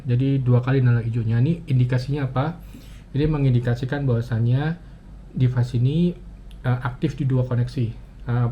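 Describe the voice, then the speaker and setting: Man, muffled noisy hands-on tutorial. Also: mid-range voice. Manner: halting, thinking aloud with frequent pauses. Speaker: motovlogger giving a gear tutorial. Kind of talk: casual step-by-step demonstration. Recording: muffled, dull audio with clear ambient noise.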